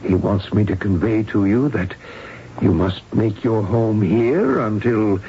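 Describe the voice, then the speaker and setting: A muffled, slightly hissy radio drama recording. A surgeon talks in a low, gravelly voice, formal and deliberate, with a condescending and evasive manner. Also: male voice. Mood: solicitous. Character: smooth-talking, evasive, patronizing male surgeon at a clinic. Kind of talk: 1970s radio drama acting. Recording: dull, noisy 1970s radio recording.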